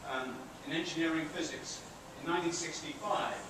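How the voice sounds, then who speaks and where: A middle-aged British man with a high, bright-pitched voice gives a chatty lecture to students. He sounds far from the mic in a big theatre, with heavy echo and a steady hiss behind him. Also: a little fast. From Britain. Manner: chatty, asks the audience questions, self-deprecating asides. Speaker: university professor giving a guest lecture. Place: large theatre hall with an audience. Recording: very echoey hall, far-off and noisy.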